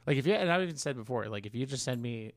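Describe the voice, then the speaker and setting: A man's voice: mid-range voice, expressive, no echo. A man co-hosting a podcast in casual chat, rambling and interrupting himself as he goes, with casual swearing. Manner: rambling, halting, self-interrupting. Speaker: podcast co-host.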